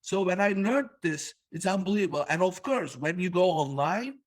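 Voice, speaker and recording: A man's voice: high-pitched, expressive, moderate pace. A man born in Turkey hosting a business interview podcast, talking emphatically and repeating words for stress. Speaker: podcast host.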